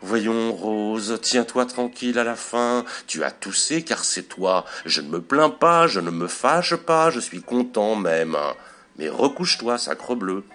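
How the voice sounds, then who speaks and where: Man as a weary, low-voiced resigned husband. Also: expressive, fairly bright tone, soft background music. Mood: resigned, teasing. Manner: resigned, teasing, unhurried. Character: weary, resigned husband, mocking, wanting peace.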